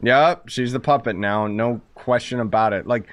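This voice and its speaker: A man's voice: mid-range voice, normal speed. A young man commenting on a video game as he plays, joking and sarcastic, often breaking into exclamations. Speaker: gaming streamer. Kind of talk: sarcastic let's-play commentary. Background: game music.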